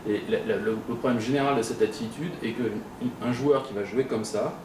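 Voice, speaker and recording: Man talking fast n emphatic, casual, repeats himself, noisy room. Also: mid-range voice. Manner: emphatic, repetitive, colloquial. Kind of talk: informal explanatory discussion. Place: convention room. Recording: echoey room, distant mic, background noise.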